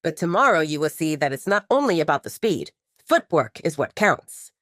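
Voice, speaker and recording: A woman's voice: low voice, very animated, moderate pace, fairly bright tone, no echo, studio quality. A woman voicing an eager, proud young male team player in an audiobook, casually boastful.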